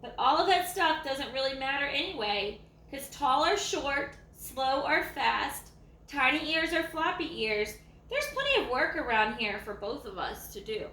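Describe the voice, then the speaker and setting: A woman voicing a lazy, self-assured old ranch dog in a folksy, slow storybook read-aloud. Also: high voice, sing-song, sharp tone, full character voice. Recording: echoey room, faint background noise.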